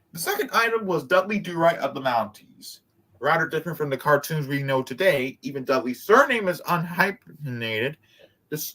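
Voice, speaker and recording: A man's voice: mid-range voice, bright, forceful tone. A man reading a book aloud with casual asides, sometimes stumbling over words and repeating them. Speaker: host of a group read-aloud.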